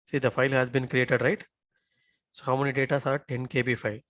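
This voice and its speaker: A man giving a live software tutorial as an instructor, often checking in with short tag questions. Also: medium pitch, level tone, fairly quick, dry recording.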